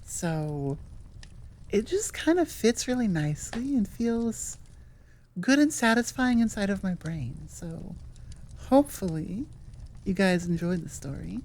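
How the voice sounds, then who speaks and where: A woman's voice: medium pitch, lively intonation, measured pace, a little mellow. A woman hosting her audio roleplay channel, chatting to listeners in an informal, rambling, candid way. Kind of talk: casual chat to listeners.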